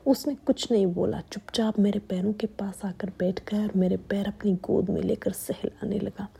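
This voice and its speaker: A young woman narrating a story in a steady hushed whisper. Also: medium pitch, moderate pace, gravelly, breathy edge.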